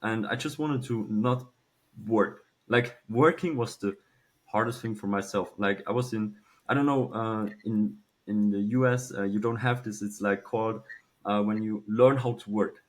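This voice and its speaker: Low-voiced young man telling his story. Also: steady tone. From Switzerland. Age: early 20s. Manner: halting, informal, swears freely. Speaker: podcast guest, young entrepreneur. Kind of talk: casual personal storytelling.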